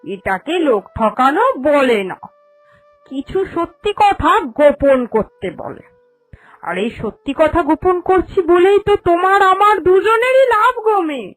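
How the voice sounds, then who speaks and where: A woman's voice: extremely high pitch, animated, unhurried, no echo, low-quality recording. A woman voicing a vain, greedy, bossy village moneylender in a cartoon, with a haughty and emphatic manner. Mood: sly, scolding. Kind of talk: Bengali cartoon fairy-tale voice acting. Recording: music under the voice.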